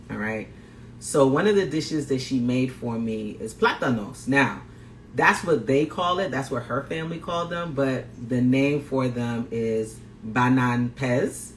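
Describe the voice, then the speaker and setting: Upbeat male chef hosting a live cooking demo, mid-pitched voice, roomy recording. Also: a bit bright. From Guyana. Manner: upbeat, greets viewers, repeats phrases. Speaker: plant-based chef and health coach. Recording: roomy echo, faint background noise.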